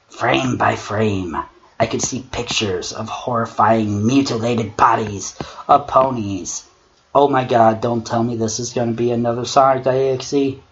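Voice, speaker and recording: A wry male god of struggle, played by a man, holds forth with a slow, theatrical delivery. He has a middle-pitched voice and treats horror storytelling with deliberate drama and sarcasm. The recording is dull and lo-fi. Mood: sarcastic exasperation. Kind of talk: dramatic creepypasta reading with mocking commentary. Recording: dull lo-fi mic with clear noise.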